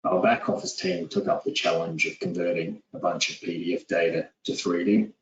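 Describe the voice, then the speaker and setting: A man in his forties with a New Zealand accent, giving a steady, businesslike webinar presentation with frequent "uh"s over a call. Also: low voice, normal speed, vocal fry. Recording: echoey, distant, dull lo-fi audio.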